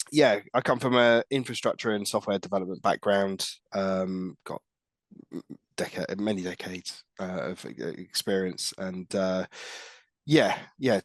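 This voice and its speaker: A man's voice: lowish voice, fairly even intonation, unhurried, no room sound. A middle-aged man with a stuffy nose from a cold, giving a presentation on a video call, hesitant with many fillers and restarts. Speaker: guest speaker, tech lead.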